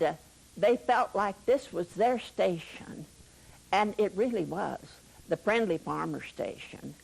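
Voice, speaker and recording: Older female interviewee, unhurried and halting delivery, creaky voice, informal reminiscing. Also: medium pitch, rough recording. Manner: halting, pausing often. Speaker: interviewee sharing recollections. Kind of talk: casual reminiscing.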